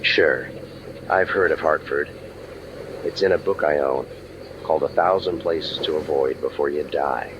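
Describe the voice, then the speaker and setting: A man acting a hardboiled waterfront detective with a very deep, low voice. He is cynical and deadpan, tossing out dry wisecracks in old-time radio noir style. The sound is muffled, with clear background noise. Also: measured pace. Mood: dry, sardonic. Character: cynical, world-weary hardboiled male waterfront private eye.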